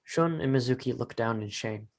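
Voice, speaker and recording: Male narrator with a medium-pitched voice. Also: normal speed. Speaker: narrator reading stage directions. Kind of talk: scripted narration.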